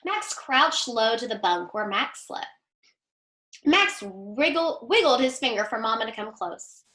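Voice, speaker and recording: A woman giving an expressive, teacherly picture-book read-aloud and putting questions to young listeners. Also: a little high, measured pace. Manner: animated, teacherly. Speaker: teacher reading aloud to children.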